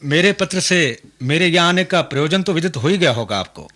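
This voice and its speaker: An actor playing a polite, humble visiting minister in a radio drama, formal and slightly hesitant. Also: male voice, a little high, lively intonation, brisk, bright, forceful tone. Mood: humbly polite. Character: deferential, polite visiting minister seeking a match. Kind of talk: Hindi radio drama acting.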